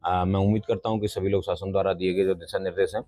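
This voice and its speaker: A man giving a halting, bureaucratic official statement to the press. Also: sits low, flat delivery, dark tone, no echo. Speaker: government or police official.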